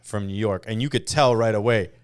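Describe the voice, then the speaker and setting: A man's voice: lowish voice, a little fast, clear, resonant tone. A man hosting a podcast, asking easygoing, curious questions in casual interview talk. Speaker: podcast host.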